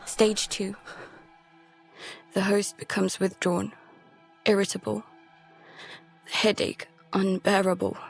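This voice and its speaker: An actress playing a terrified girl who narrates a survival horror audio drama in halting, fragmented phrases. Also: medium pitch, vocal fry, slightly rough, exaggerated voice. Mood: grim dread. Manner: halting, fragmented, hushed dread breaking into pleading.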